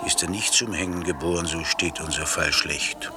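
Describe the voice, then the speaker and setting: A man plays a wry old royal counsellor in a radio drama, deep-voiced and declaiming. He speaks with dry, stoic humour over very loud music. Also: steady tone, normal speed, natural voice. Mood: wry, steadfast. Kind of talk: classic German radio play acting.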